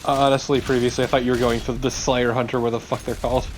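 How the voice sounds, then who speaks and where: A man's voice: mid-range voice, level tone, quick pace. A man with a middle-range voice comments casually on a game. He jokes and swears freely in a conversational way, with a little noise behind him. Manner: joking, sweary. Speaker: let's play commentator.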